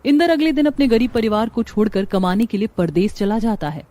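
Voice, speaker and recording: A woman's voice: on the high side, brisk, dark tone. A woman narrator reading a scripted story in a dramatic storytelling style.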